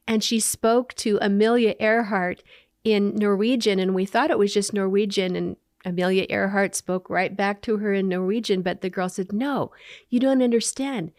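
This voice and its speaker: A middle-aged woman with a mid-range voice explains her work in an upbeat, step-by-step manner, reeling off examples as she goes. Her voice is captured close and dry, with no echo around it. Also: mid-range voice. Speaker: company founder and CEO, guest. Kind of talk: interview answers.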